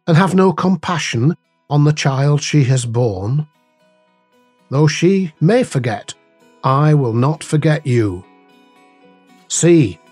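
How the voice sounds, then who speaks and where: Slow, measured man reading a devotional. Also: mid-range voice, a little mellow. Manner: measured, deliberate phrasing with pauses. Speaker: devotional reader and host. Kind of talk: scripted devotional reading with scripture and prayer.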